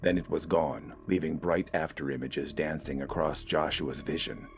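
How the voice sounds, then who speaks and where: A man's voice: a bass voice, fairly even intonation, moderate pace, gravelly, soft background music. A man reading scripted, dramatic fiction as an audiobook narrator.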